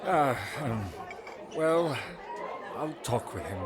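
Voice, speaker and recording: A man's voice: mid-range voice, animated, takes it slowly, crowd noise. An actor playing a genial, hearty middle-aged father of a prince in an audio drama, with a folksy, doting, musing way of talking. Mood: jovial, fond.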